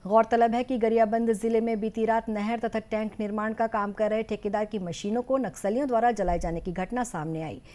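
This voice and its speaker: A woman's voice: a little high, steady tone, a little mellow. Woman reading a formal news bulletin. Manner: formal, measured delivery. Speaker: news presenter.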